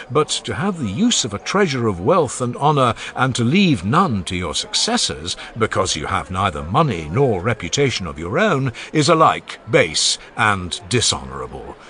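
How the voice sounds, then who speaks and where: A man's voice: on the low side, sing-song, normal speed, bright, forceful tone. A man reading a classical funeral oration aloud in a solemn, declamatory way, his long phrases rising and falling. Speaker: audiobook narrator. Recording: faint background ambience and music under the voice.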